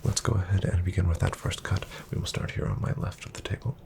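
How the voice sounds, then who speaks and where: A man playing a courteous, composed casino dealer in a soft-spoken ASMR roleplay, with formal phrasing and a breathy near-whisper. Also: deep voice, level tone, a little hiss or hum. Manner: formal, polite, measured phrasing.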